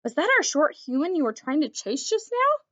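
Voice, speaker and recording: A woman reading a children's storybook, voicing a gentle female dog with a wistful manner. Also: high-pitched, big pitch swings, moderate pace, dull audio, pitched above the performer's own voice. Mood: curious. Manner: wistful, lovely-voiced. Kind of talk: children's storybook read-aloud.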